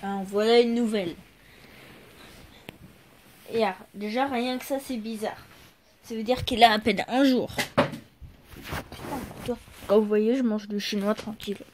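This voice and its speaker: A woman's voice: on the high side, steady tone, measured pace, long pauses, a little mellow, slight background noise. A woman hosting a casual vlog, impatiently repeating the same question to a phone. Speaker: channel host.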